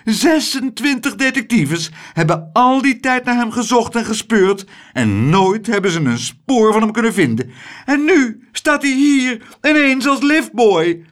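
Guy doing a high shrill rich old lady in a storybook, all gush and sobs, swoops up and down. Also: expressive, measured pace, fairly bright tone, full character voice. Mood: sobbing, doting. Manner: high, shrill, sobbing and doting. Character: gushing rich older American lady, theatrical.

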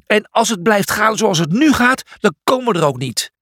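A determined zebra gladiator from a children's storybook, acted out by a man. He is bossy and urgent, calling out in a raised, swooping voice with a rough husk to it. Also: high-pitched, sing-song, measured pace, dry recording, clean studio sound, pitched above the performer's own voice, exaggerated voice. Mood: snappish. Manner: urgent, exasperated, shouting.

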